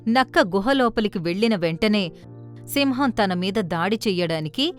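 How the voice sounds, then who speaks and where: Female narrator, medium pitch, scripted children's tale, soft music bed. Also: lively intonation, normal speed.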